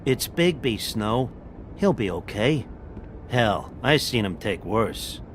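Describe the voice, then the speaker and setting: A man's voice: on the low side, sing-song, slow, slight background noise. A man voicing a blunt, wisecracking talking pig in a video game, loyal and slangy in manner. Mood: wry, reassuring. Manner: sardonic, slangy, informal.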